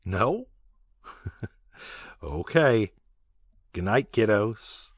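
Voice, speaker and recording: A male actor as a playful parent at bedtime in an audio drama. His mid-pitched voice swings widely up and down, heard close and dry. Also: big pitch swings, very slow, breathy edge, no echo, full character voice. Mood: playful, soothing. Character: playful parent saying goodnight to children.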